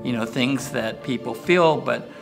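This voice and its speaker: An older man with an American accent, speaking haltingly in a documentary interview. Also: mid-range voice, gravelly, over music. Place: indoor room, seated filmed interview.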